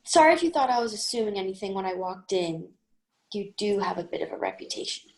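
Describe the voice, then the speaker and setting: A woman voicing a kind, calm young woman in an amateur table read, speaking gently and earnestly. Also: medium pitch, fast, lightly acted.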